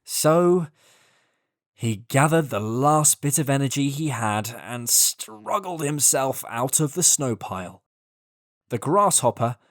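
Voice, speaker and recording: A storyteller narrating a scripted children's tale in an expressive, deliberate way. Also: mid-range voice, dry recording, studio quality.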